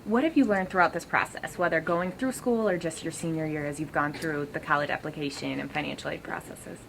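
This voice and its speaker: A woman interviewing students, asking question after question briskly. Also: deep voice, gravelly, slight background noise, poor audio.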